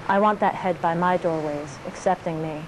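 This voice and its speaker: A woman poet reading her work aloud in a measured delivery of short, clipped phrases. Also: fairly low pitch, steady tone, background noise. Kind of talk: poetry reading.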